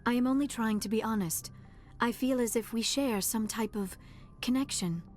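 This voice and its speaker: A woman's voice: on the high side, normal speed, a little mellow, soft background music, lightly acted. An actress playing an earnest, shy female alien in a video game, speaking formally and carefully, with some hesitation. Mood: tentative, earnest. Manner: formal, careful, hesitant phrasing without contractions. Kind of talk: video game cutscene dialogue acting.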